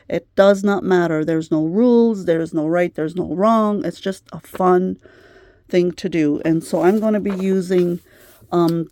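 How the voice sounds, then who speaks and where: A middle-aged woman talks through a craft vlog in a gentle, chatty way, full of ums and uhs. Her voice is mellow and a little worn, as if she is starting to lose it. The sound is close and dry, with no room around it. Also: lowish voice, dry recording. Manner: gentle, chatty, many fillers. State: slightly losing her voice. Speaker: craft channel host. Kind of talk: casual craft vlog chat.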